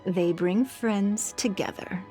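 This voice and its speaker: A woman playing a poised, shrewd, elegant businesswoman in an anime game, speaking formally and in a measured, composed manner. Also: mid-range voice, dark tone, soft background music, lightly acted. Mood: reflective, gracious.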